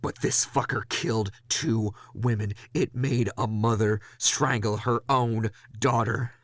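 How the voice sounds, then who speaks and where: A man playing a weary, hard-boiled ghost hunter in a noir horror audio drama, gravelly, brooding and confessional. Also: medium pitch, a little slow, dry recording, close to an everyday voice. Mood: grim, intense. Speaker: narrator and lead character.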